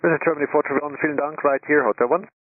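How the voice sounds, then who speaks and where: A man working as an air traffic controller, giving brisk, procedural clipped instructions over a radio. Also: fairly high pitch, steady tone, dry recording. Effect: radio transmission. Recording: tinny narrowband aviation radio.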